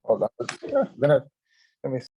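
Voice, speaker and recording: A man from Cuba who grew up in Miami, a guest speaking casually and haltingly on a phone video call. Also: medium pitch, sing-song, lo-fi sound. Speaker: guest, real estate investor. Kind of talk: casual interview.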